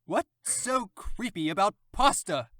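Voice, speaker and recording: A woman's voice: medium pitch, lively intonation, unhurried, fairly bright tone, dry recording, studio quality. A woman in a casual group chat, reading out questions as a castmate.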